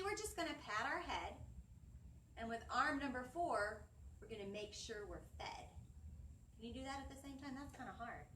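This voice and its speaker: Female kids' storytime host, bright-eyed teacherly delivery with big melodic swings, slight room noise. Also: on the high side. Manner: animated, singsong, asking questions of young listeners. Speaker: children's librarian storytime presenter. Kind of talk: children's storytime teaching, addressing young viewers. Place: indoor library children's area, seated.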